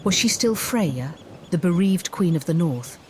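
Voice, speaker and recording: A woman storyteller reading scripted fantasy narration in a measured, theatrical way. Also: fairly low pitch, lively intonation, darkish tone, a little hiss or hum. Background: music bed.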